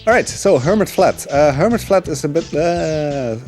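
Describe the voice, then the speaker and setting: A man giving relaxed live commentary on his speedrun, explaining the route with frequent fillers. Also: on the high side, normal speed, faint music behind.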